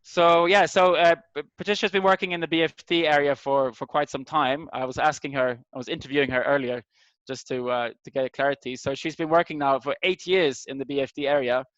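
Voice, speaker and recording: Halting man hosting, dull call audio. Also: a little high, steady tone, a bit bright, no echo. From Sweden. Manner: halting, searching for words. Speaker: host of an online community meeting. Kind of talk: informal welcome and introductions on a group call. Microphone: video call microphone.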